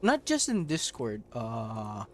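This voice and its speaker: A man's voice: mid-range voice, wildly swooping pitch, slow pace, fairly bright tone, a little hiss or hum. A man from Southeast Asia giving rambling, casual gameplay commentary, laughing often and swearing offhandedly. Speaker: mobile game streamer.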